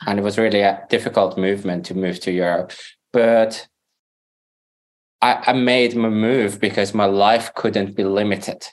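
A man with a Persian accent, a native Farsi speaker, talking as a podcast guest in earnest, halting English. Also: fairly low pitch, steady tone. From Iran. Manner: earnest, halting, searching for words. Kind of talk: casual interview talk.

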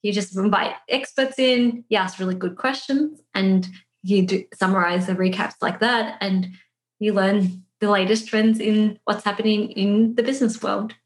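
A woman's voice: medium pitch, steady tone, normal speed. Woman podcast guest, chatty, lots of "like", roomy echo. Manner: casual, filler-heavy with frequent "like". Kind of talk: conversational podcast interview. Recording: echoey room.

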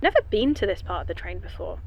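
A woman's voice: medium pitch, sing-song, quick pace, creaky, muffled recording. A woman playing a wry, nosy young woman in an audio drama, informal and sweary. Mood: pensive, curious. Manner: sarcastic, informal, sweary, talks to herself. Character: wry, nosy young woman stranded on a time-travelling train. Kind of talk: naturalistic audio drama acting.

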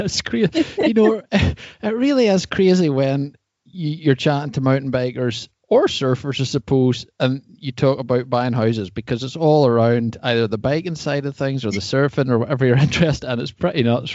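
A man speaks as a podcast host in a dry, close recording with no room sound. His pitch sits in the middle, and he talks in a chatty, informal interview style. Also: normal speed, a little mellow, dry recording, dull audio. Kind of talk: casual podcast interview chat.

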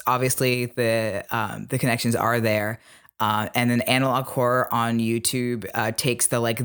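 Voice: medium pitch, fairly bright tone. A podcast co-host talking casually and analytically, explaining things point by point.

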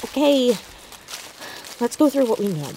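A woman playing an earnest, methodical teenage girl sleuth in a naturalistic audio drama, hushed and a little nervy. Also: fairly high pitch, very animated, unhurried, a little hiss or hum, poor audio. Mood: earnest, analytical.

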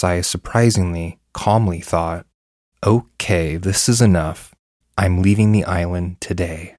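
A man's voice: sits low, darkish tone, no room sound, studio quality. A man reading a first-person horror story as scripted narration.

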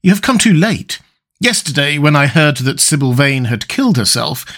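A man reading a classic novel aloud, voicing a callous, vain young gentleman in an affected, theatrical manner. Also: fairly high pitch, sing-song, moderate pace, voice pushed up. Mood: matter-of-fact.

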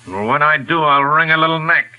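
A man's voice: a little high, brisk, bright, forceful tone. An actor playing a threatening, jilted rich man in a 1940s radio drama, snarling threats. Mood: menacing. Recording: hissy 1940s radio broadcast.

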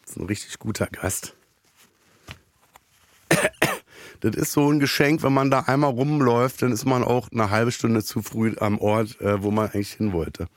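A hoarse man, hosting a podcast in a rambling, self-mocking comic monologue full of halting fillers. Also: mid-range voice, no echo, studio quality. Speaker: podcast host.

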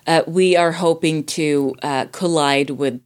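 Low-pitched woman in casual discussion. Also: unhurried, clear, resonant tone.

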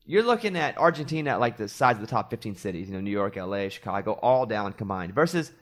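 A man's voice: lowish voice, big pitch swings, fast. A man from Arkansas, a morning show host, chatting casually on a podcast and telling rambling stories with self-deprecating asides.

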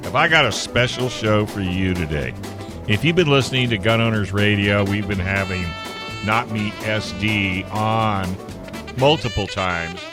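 A man with a fairly low voice hosts a talk radio program in a loose, friendly way, sometimes stumbling over names. Clear music plays underneath his voice. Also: measured pace. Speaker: radio host.

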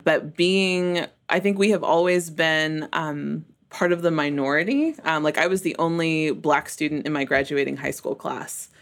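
A woman's voice: deep voice, normal speed, few pauses. American woman chatting in an interview. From Memphis, United States. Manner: laughs often while talking. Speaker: interview guest in church ministry. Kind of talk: conversational interview answers.

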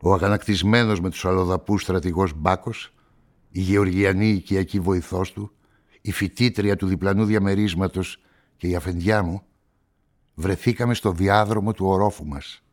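An actor as a deep-voiced, brooding male narrator. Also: fairly even intonation, natural voice. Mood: measured. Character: brooding, solitary male newspaperman narrating a murder story. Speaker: narrator and protagonist of a radio play. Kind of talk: literary first-person radio drama narration.

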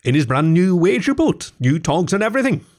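A man's voice: high-pitched, very animated, fairly quick, a little mellow, voice pushed up. A man voicing a sociable, blunt male otter from a storybook, jovial and gossipy. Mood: gossipy, amused. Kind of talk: storybook character voice.

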